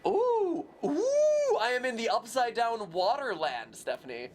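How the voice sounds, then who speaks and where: A young man hosting a casual game livestream, goofy and excitable, breaking into shouts and playful sing-song bits. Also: very high voice, unhurried, noisy background. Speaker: host. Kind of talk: casual let's-play chat.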